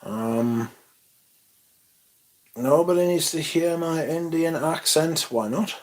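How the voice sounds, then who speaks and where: A young man hosting a casual livestream, reading chat comments aloud and chatting in a loose, relaxed way with casual swearing. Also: a little high, slow pace. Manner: laid-back, swears casually, mumbles asides, reads chat aloud. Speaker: livestream host.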